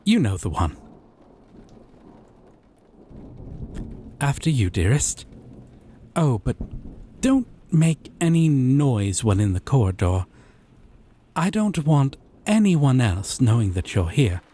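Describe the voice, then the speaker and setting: A man playing a gentle, obsessive, possessive lover in an intimate romantic roleplay, speaking haltingly and tenderly. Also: medium pitch, big pitch swings. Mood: eager, affectionate. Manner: slow, halting, tender, breathy pauses. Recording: rain and thunder ambience behind. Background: rain, thunderstorm.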